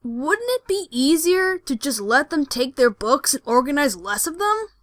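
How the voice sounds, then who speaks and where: Woman as a squeaky, animated little boy dragon. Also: unhurried, no room sound, studio quality, heavily acted. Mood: cheeky, exasperated. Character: young male cartoon dragon, squeaky, questioning, mildly exasperated. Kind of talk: cartoon-like audio drama acting.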